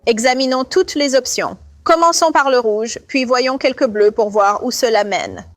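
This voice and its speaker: A woman voicing a high-pitched bridal stylist. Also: moderate pace, no room sound, lightly acted. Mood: helpful. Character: obliging female bridal stylist guiding clients. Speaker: bridal shop consultant. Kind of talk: dubbed reality show, customer service talk. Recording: faint music under the voice.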